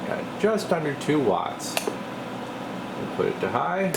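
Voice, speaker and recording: A man's voice: on the high side, takes it slowly. A man giving a casual, folksy, slangy repair walkthrough as a radio technician. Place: indoor radio repair workshop. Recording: steady clear background noise.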